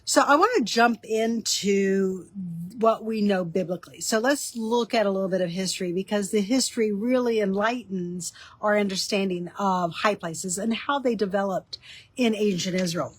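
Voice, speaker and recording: A woman with a slightly nasal, stuffy voice from a cold, explaining biblical history in a relaxed teaching talk with frequent ums. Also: medium pitch, normal speed, no room sound. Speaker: author and teaching host.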